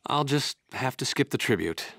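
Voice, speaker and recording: An actor voicing a kind, patient adult man in a children's cartoon, explaining things gently. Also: mid-range voice, lively intonation, moderate pace, no room sound.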